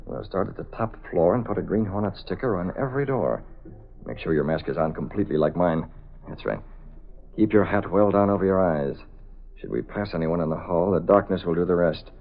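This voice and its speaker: Casting: cool, commanding gentleman vigilante, lowish voice, clipped radio-serial delivery, thin vintage sound. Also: lively intonation, brisk, gravelly, phone-quality sound, full character voice. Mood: measured, resolute. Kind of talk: 1930s-40s radio drama acting. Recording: thin band-limited vintage radio recording.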